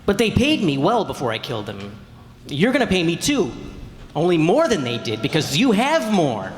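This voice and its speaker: A man's voice: a little high, huge pitch swings, normal speed. Menacing radio-play stalker acted by a man, echoey hall. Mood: cool menace. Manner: taunting, threatening. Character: menacing male killer stalking and extorting women. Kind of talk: old-time radio crime drama acting. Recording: echoey hall, background noise.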